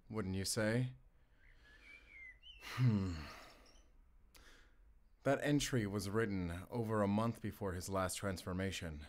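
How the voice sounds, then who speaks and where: A man playing a calm, methodical, grimly wry wolf hunter in an audio roleplay, speaking in a measured, formal way. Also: lowish voice.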